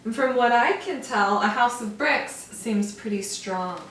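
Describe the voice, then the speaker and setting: Teacher lady reading to little kids, kinda high voice, echoey room, mic far off. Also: a little slow, fairly bright tone, slight background noise. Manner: explains words to children. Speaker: first grade teacher. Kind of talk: reading a picture book aloud.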